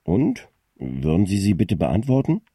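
An actor playing a formal, composed radio phone-in host who prompts and probes, in a radio drama. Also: male voice, deep voice, wildly swooping pitch, unhurried, dark tone, husky, no room sound, clean studio sound, exaggerated voice. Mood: composed, pointed. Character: smooth, probing local radio phone-in host.

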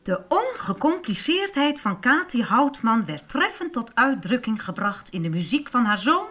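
A bubbly bohemian artist mother, high-pitched and very animated. She is playful and theatrical in the manner of a radio soap. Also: moderate pace.

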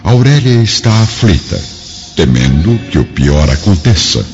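A man narrating a radio drama in a solemn, literary, measured and dramatic style. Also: deep voice, sing-song. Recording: dull radio drama audio with music.

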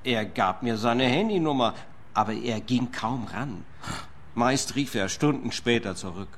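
An actor playing a fragile, fretful client in a German-language radio play, brittle, fussy and self-pitying. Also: male voice, mid-range voice, animated, a little slow. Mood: troubled. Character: fragile, fretful male client, pill-dependent house owner.

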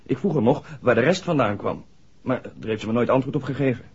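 A man's voice: medium pitch, lively intonation, brisk, slightly rough. A man acting an earnest, hesitant young village garage worker in a 1960s radio play, speaking deferentially. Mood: uneasy. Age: young adult. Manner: hesitant, deferential to his questioner. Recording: dull 1960s radio drama recording.

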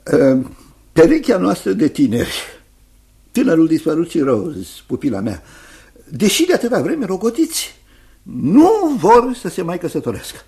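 An older guardian in a radio play. His voice sits fairly high and is full of creak, with lively swoops. He is fussy and kindly, choosing words with care. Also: full character voice. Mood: hesitant, deliberate. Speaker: radio drama actor. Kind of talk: Romanian radio theatre acting.

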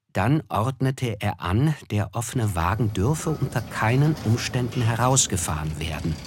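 An actor playing a reflective, melancholy narrator in a literary radio play, speaking in a measured, solemn way. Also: male voice, fairly low pitch, noisy background, natural voice. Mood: grave, measured. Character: reflective, melancholy male narrator recalling a tragedy.

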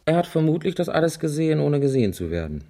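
An actor playing a wry, easygoing man in a German radio crime drama, thinking aloud methodically in a dry, deliberate way. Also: fairly high pitch, sing-song, dark tone. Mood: pondering.

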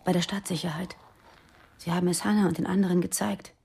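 An actress playing an uneasy young militant woman confiding secrets in a radio drama, hushed, urgent and earnest. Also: on the low side, fairly even intonation, fast, creaky, slightly rough, exaggerated voice. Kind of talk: German radio drama dialogue.

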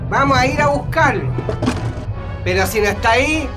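A man's voice: very high voice, lively intonation, normal speed, music in the background. A man with a Chilean accent playing a menacing, commanding military officer in a radio drama, barking and intimidating. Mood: threatening.

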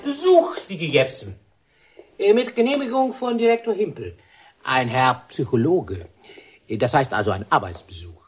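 A prison warder in a radio play, fussy and apologetic. His pitch jumps about in a lively way as he haltingly makes official announcements, with faint music behind. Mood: flustered. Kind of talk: German radio drama acting.

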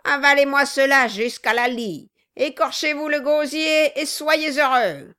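Woman putting on a malicious, bullying, forceful dwarf. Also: a little high, lively intonation, takes it slowly, clean tone. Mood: gleefully cruel. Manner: loud, commanding, mocking. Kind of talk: dramatized audiobook reading.

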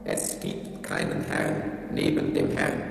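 A man playing an obedient worker robot that chants a creed slowly and devoutly in a German radio drama. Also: background noise, lightly acted. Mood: devout, droning. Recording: distant, very echoey reverberant hall.

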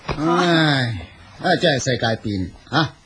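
An actor playing a brash, chauvinist taxi-driving husband in a Cantonese radio drama, husky and scoffing. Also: male voice, fairly high pitch, big pitch swings, takes it slowly, fairly bright tone, slight background noise, full character voice. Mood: boastful, defensive. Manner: scoffing, colloquial, emphatic.

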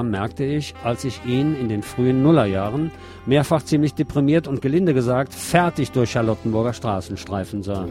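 A man with a mid-pitched voice reads a prepared article aloud in the role of a radio host. His delivery is scripted narration, and music plays faintly behind him. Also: a little mellow.

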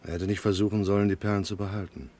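Low-voiced hard-boiled private detective. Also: male voice, fairly even intonation, fast, darkish tone. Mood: terse, guarded. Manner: terse, dry, plain-spoken. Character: hard-boiled male private detective narrating his case. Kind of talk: 1970 radio drama acting and narration.

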